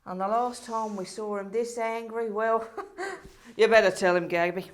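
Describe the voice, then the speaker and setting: A woman's voice: medium pitch, fairly even intonation, normal speed, lightly acted. A woman playing an exasperated, flustered saint who runs heaven in a comedy play, speaking theatrically and repeating herself. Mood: confiding, strained. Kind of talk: comedy stage play dialogue.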